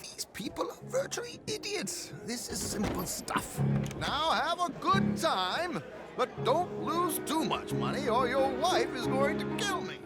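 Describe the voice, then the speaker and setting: An actor playing a flamboyant, self-assured swindler in a Western game caper, arch and refined in manner. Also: male voice, extremely high pitch, wildly swooping pitch, a little slow, over loud music, exaggerated voice. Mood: breezy. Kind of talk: video game cutscene acting, Western caper.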